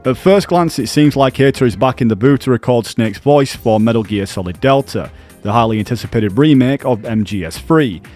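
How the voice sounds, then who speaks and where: A man's voice: medium pitch, fairly quick, a little mellow, soft background music. A man presenting gaming news as scripted video narration.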